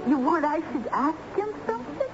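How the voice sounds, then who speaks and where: A woman's voice: sits high, very animated, brisk. An actress playing a coy, sardonic woman with a drawling delivery in a radio drama. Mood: droll, skeptical. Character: droll, coy woman helping the searchers. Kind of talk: American radio drama acting. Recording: muffled old radio recording with music.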